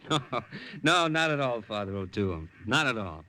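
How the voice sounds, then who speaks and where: An actor playing an earnest American army captain and secret agent, steady and plainspoken, in a 1950s radio drama. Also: male voice, medium pitch, moderate pace, over loud music, natural voice. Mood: relaxed, confiding. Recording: old radio recording, faint noise.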